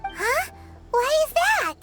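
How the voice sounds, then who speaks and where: A woman voicing a tiny, excitable, child-like floating fairy companion in a video game, squeaky and chirpy. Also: slow, over loud music, exaggerated voice. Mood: eager.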